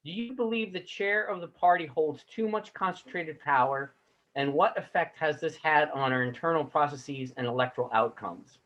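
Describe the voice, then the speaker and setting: A man's voice: on the high side, moderate pace, a bit bright, lo-fi sound. A man moderating an online forum from a corner of his home, loose and apologetic in manner.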